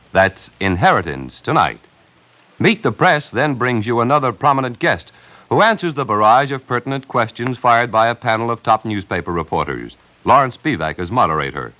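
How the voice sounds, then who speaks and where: A male American announcer reads scripted radio promos in a polished 1950s manner. His medium-pitched voice rises and falls animatedly, with a jaunty selling delivery. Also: sharp tone.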